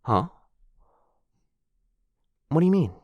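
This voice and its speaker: A man playing a shy, sweet, earnest dog-person roommate in an intimate boyfriend ASMR roleplay, speaking softly and hesitantly. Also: a little high, big pitch swings, no room sound, dull audio, full character voice. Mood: flustered, defensive. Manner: soft, hesitant, halting, bashfully rambling.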